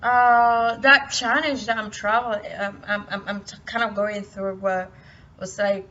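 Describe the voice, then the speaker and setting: A young woman from Cameroon talking about her life in a personal motivational vlog, halting and full of restarts. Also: medium pitch, level tone, sharp tone. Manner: hesitant, halting, many restarts. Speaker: vlogger. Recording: distant, muffled, light noise.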